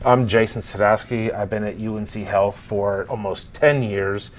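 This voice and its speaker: A man's voice: on the low side, fairly even intonation, normal speed, slight background noise, low-quality recording. A man working as a respiratory therapist, explaining his work in a plain, casual way with occasional fillers. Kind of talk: casual workplace interview.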